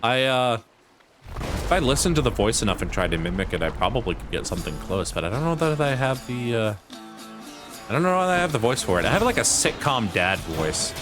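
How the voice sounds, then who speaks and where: A man's voice: mid-range voice, very animated, a little fast. A man streaming a video game and chatting casually, rambling with restarts and self-deprecating asides, over game music. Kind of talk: casual chat while gaming.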